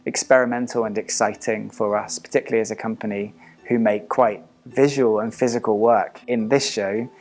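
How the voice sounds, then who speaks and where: A man's voice: fairly low pitch, fairly even intonation, normal speed, darkish tone. A man speaking as a theatre director, explaining a creative process in an interview.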